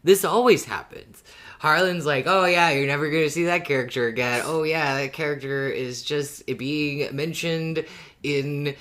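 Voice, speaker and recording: A podcast co-host talking casually as a fan, animated and emphatic, with some swearing. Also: medium pitch, normal speed.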